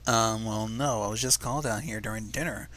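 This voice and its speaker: A man's voice: fairly low pitch, brisk, fairly bright tone, voice pushed down, close to an everyday voice. A man reading the part of a nervous ordinary man in a story, hesitant and anxious.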